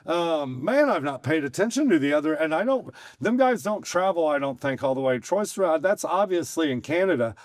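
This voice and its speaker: A middle-aged man hosting a casual podcast, hoarse today, talking in a rambling, folksy, excitable way full of restarts. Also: a little high, moderate pace, hardly any pauses, dry recording, clean studio sound. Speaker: host and bar owner.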